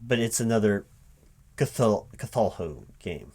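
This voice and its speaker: A lowish male voice of a man acting out a flustered corporate recruiting manager. He stammers and doubles back on his words as he puts baffled, polite questions in a spoken-aloud ASMR job interview roleplay. Keyboard typing sits behind the voice. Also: lively intonation, slow pace, noisy background. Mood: bemused, questioning. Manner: hesitant, stammering, repeating words, puzzled questioning. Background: computer keyboard typing.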